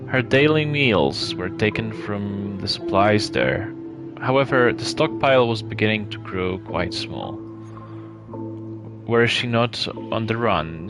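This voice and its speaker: A man reading a story aloud live as narration, in a measured way with some hesitations and stumbles. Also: on the low side. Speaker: streamer reading a visual novel aloud. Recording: clear background music behind the voice.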